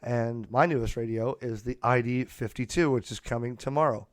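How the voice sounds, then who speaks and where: A man's voice: mid-range voice, normal speed, no room sound. A man hosting an unscripted hobby podcast in a casual, chatty way, speaking into a close podcast microphone. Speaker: podcast host and amateur radio hobbyist. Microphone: close USB podcast microphone.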